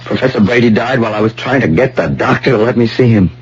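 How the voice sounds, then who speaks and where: A man's voice: mid-range voice, fast talker, darkish tone, lightly acted. An actor playing an earnest, hot-tempered young man, insistent and pleading as he searches, in an old-time radio drama. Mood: agitated. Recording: muffled, noisy vintage radio broadcast.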